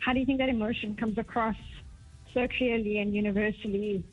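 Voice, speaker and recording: A woman's voice: mid-range voice, fairly even intonation, a little fast, breathy edge, faint music behind. A woman calling in to a radio show, earnestly asking her question and thinking aloud as she goes. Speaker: caller. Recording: tinny phone line.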